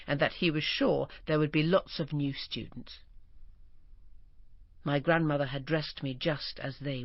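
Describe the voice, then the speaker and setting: A woman narrating a first-person memoir expressively, turning breathy and whispered at moments of feeling. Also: low voice, no room sound. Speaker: audiobook narrator. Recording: dull, dry recording.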